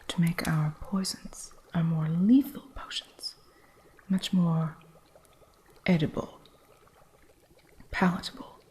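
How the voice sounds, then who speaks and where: A woman voicing an eccentric witch who runs a curio shop, talking in a soft, fond, matter-of-fact ASMR whisper. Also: fairly low pitch. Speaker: ASMR roleplay performer. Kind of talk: ASMR roleplay.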